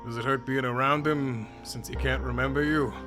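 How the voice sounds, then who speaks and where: A man's voice: medium pitch, fairly even intonation, moderate pace, over music, lightly acted. A man playing a laid-back, wry skeleton with a casual, dry, needling way of talking in a fan comic dub. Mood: wry, guarded.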